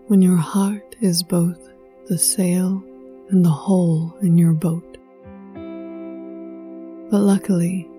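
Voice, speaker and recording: A woman leading a guided meditation in a soft, breathy voice that often sinks near a whisper, hushed, soothing and unhurried, with poetic scripted phrasing. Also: lowish voice. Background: music.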